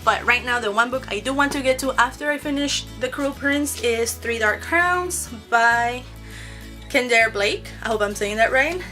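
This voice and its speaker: Bright, high-voiced young woman vlogger. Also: moderate pace, faint music behind. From Mexico. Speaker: book vlogger. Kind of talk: casual to-camera question-and-answer chat.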